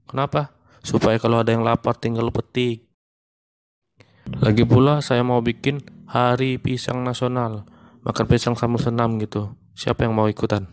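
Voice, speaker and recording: A man voicing a male monkey stand-up comedian in a cartoon who is running for mayor, telling jokes in a deadpan, even way. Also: medium pitch, no room sound, natural voice.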